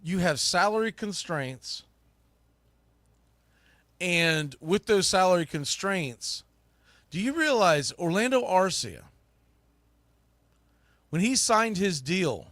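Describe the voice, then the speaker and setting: A man with a fairly high, bright and punchy voice hosts a live sports show. He delivers opinionated commentary in an emphatic, colloquial style. The sound is close and very dry. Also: animated, takes it slowly, long pauses, dry recording. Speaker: sports podcast host and play-by-play broadcaster.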